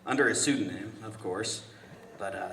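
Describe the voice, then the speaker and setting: A man's voice: fairly low pitch, normal speed, bright, forceful tone. A man playing an insufferably pleasant, patient, earnest family man in a script table read, chatty and hesitant. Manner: hesitant, chatty, apologetic, stammering. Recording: echoey room from far off, noisy.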